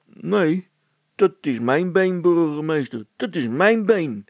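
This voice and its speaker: A man's voice: on the high side, expressive, takes it slowly, a little mellow, no room sound, voice pushed up, heavily acted. A man voicing a dim, panicky village constable in a storybook, stubborn and plaintive. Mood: stubborn protest.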